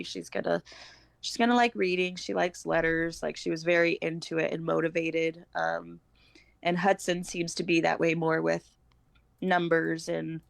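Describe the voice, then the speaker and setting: A woman's voice: sits low, vocal fry, dry recording. A woman, chatting casually as a guest on a homeschooling podcast. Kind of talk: casual chat.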